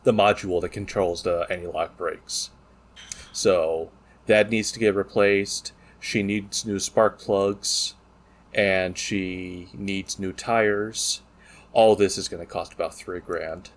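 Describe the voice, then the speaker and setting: A man with a fairly low voice chats casually as a podcast co-host. He talks in an informal, joking way and swears freely. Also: fairly even intonation, slow pace. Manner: profane, joking banter. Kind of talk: casual chat.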